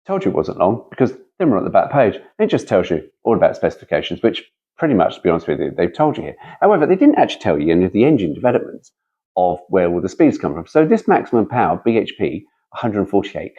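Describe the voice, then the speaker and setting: A man's voice: on the low side, big pitch swings, fast, dark tone, breathy edge, lo-fi sound. A man reading aloud casually as a host, breaking off for chatty, wry, digressive asides.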